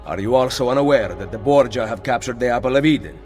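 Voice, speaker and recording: An actor playing a confident, commanding Renaissance master assassin in a video game, with an Italian accent and a measured, dry manner. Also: male voice, mid-range voice, animated, darkish tone, natural voice. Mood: challenging. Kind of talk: video game cutscene acting. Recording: reverberant hall, music underneath.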